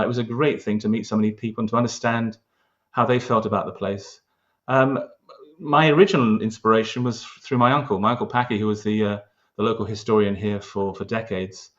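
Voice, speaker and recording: A man's voice: lowish voice, fairly bright tone, lo-fi sound. A man with a London accent, talking earnestly about local history in an interview, with frequent restarts and hesitations. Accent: London English. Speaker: local historian, award winner.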